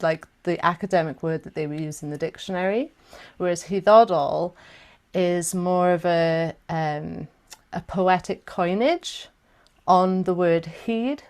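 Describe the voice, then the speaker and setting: A woman with a South Welsh accent talks unhurriedly in a somewhat low voice, leading a live workshop over a video call. She keeps it chatty, with friendly asides and frequent laughs. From South Wales. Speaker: chant workshop teacher. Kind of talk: casual live online workshop talk.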